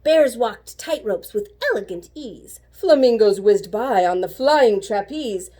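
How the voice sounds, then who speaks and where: A woman reading a children's story aloud like a teacher, animated and rhythmic with the rhymes. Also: fairly high pitch, measured pace. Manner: animated, rhythmic rhyming delivery.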